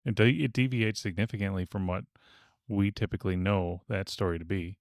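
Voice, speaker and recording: A man with a lowish voice speaks as a podcast co-host in a casual group discussion. The recording is dry, without room reflections. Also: steady tone, brisk, dry recording.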